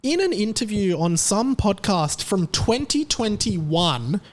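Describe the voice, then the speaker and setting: Chatty, excitable man hosting. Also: takes it slowly. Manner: chatty and excitable, with animated pitch jumps. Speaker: podcast host. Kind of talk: casual chat.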